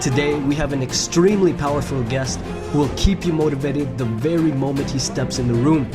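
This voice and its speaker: A man's voice: mid-range voice, brisk. A man hosting an interview show, reading a scripted intro and then asking questions with some hesitant fillers. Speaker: host. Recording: music clearly behind the voice.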